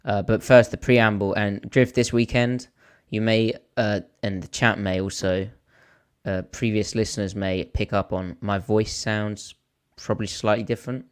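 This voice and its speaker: A man with a hoarse voice, co-hosting a podcast and rambling through stories in casual chat. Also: lowish voice, normal speed. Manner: rambling storyteller, animated when telling a story. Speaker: podcast co-host.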